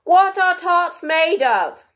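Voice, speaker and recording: Lady doing a storybook king, real high voice, pompous and flustered, booms out proclamations. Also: lively intonation, takes it slowly, a bit bright, heavily acted. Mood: put-upon, grave.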